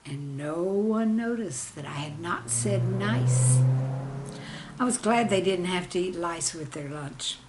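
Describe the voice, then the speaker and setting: A woman, a teacher, reading her own scripted humorous anecdotes in a deliberate storytelling manner. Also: on the low side, expressive, very noisy.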